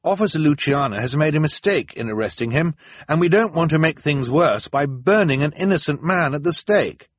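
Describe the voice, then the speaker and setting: A man voicing an earnest teenage boy defending someone with calm reasoning. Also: on the high side, normal speed, dry recording, pitched above the performer's own voice.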